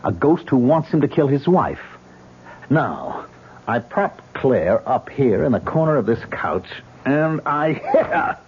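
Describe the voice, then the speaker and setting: An actor playing a tormented man who hears ghost voices in an old radio drama, agitated and husky. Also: mid-range voice, a little slow, heavily acted. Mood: tense. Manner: agitated, swinging between calm and outbursts. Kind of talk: 1970s radio drama acting. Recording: muffled lo-fi old radio broadcast.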